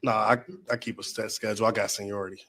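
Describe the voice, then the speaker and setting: A man with a fairly low voice answers questions in an informal chat. He talks quickly and keeps his replies casual. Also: little pitch movement, pushed and bright. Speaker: interviewee, city bus driver.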